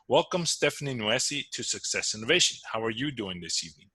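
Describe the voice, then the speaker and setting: A man's voice: mid-range voice, lively intonation, fast, pushed and bright. A man hosting an interview podcast, talking in a loose conversational way with fillers and restarts. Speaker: podcast host.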